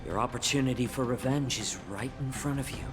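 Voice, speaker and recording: Man playing composed captive with mid-pitched voice. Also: natural voice. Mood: calm bargaining. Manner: measured, polite, controlled. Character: composed, calculating captive male healer, quietly resolute. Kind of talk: dramatic video game acting. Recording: music behind voice.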